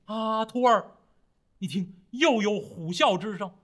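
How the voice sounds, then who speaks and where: A man's voice: on the high side, very animated, normal speed, a bit bright, voice pushed down, full character voice. A man voicing a timid, easily startled monk master in an oral tale, solemn and fretful. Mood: alarmed.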